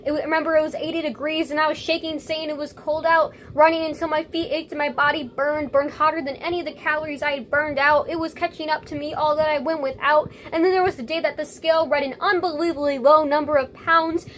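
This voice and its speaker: A woman's voice: high-pitched, little pitch movement, fast talker, few pauses, a bit bright, slight background noise. A young woman performing spoken-word slam poetry with an earnest, dramatic and rhythmic delivery. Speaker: slam poet.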